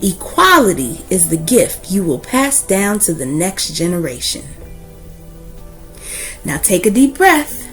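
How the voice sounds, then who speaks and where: A woman hosts a children's read-aloud at a very slow, deliberate pace. Her delivery swings widely in pitch, with emphatic stresses and dramatic pauses. Music plays behind her voice. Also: very animated, a bit bright. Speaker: host of children's book read-aloud series. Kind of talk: expressive read-aloud of a children's picture book.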